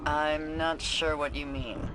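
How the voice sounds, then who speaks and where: An actress playing a tough, wry deputy commander in a video game, brisk and dry under fire, over game music. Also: female voice, sits low, fairly even intonation.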